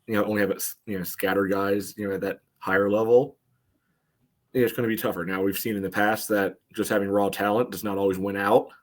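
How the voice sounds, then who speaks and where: A man giving casual sports analysis as a podcast co-host, in a halting, conversational way. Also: on the low side, flat delivery.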